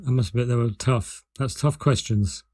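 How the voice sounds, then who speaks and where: A man's voice: mid-range voice, steady tone, normal speed, warm tone. A man chatting casually as a guest on a podcast. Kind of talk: casual chat.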